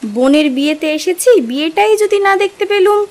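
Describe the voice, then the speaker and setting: A woman's voice: very high voice, animated, moderate pace, darkish tone. A woman playing a timid, apologetic young wife in an audio drama. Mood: timid, plaintive.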